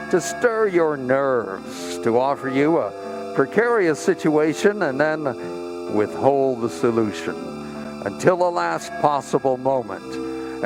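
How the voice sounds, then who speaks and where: A man playing an ominous, formal narrator introducing a 1940s-style radio suspense drama, deliberate and portentous. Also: a little high, low-quality recording. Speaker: announcer. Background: music.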